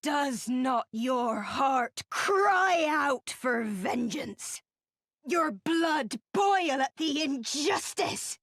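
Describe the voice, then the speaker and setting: A zealous rebel woman in a fantasy game, very high-voiced and slow, declaiming against the nobles. She is impassioned and grief-stricken, and the recording is dry and close. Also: lively intonation, fairly bright tone, no echo, full character voice. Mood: fervent rallying. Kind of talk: theatrical fantasy game oratory.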